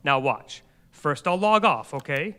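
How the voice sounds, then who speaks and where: A man's voice: a little high, expressive, a little slow, pushed and bright. A man acting an earnest, exasperated husband in a live radio play, speaking in an insistent, protesting way. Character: Jeff, exasperated, earnest husband baffled by his online account. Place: on stage in a senior center hall before an audience. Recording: reverberant hall, live stage recording.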